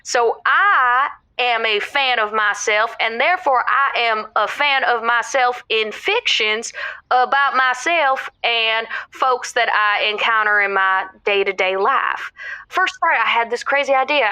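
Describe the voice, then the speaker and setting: A woman playing a middle-aged housewife calling a radio show in an audio drama, rambling, earnest and excitable. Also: on the high side, a little slow, clear, resonant tone, no room sound. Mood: giddy, confiding. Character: chatty middle-aged Southern housewife caller, proud and giddy.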